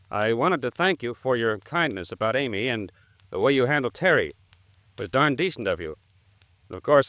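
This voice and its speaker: A man's voice: medium pitch, a bit bright, no room sound, lightly acted. An actor playing a polite, apologetic American businessman in an old-time radio drama, slightly hesitant and anxious.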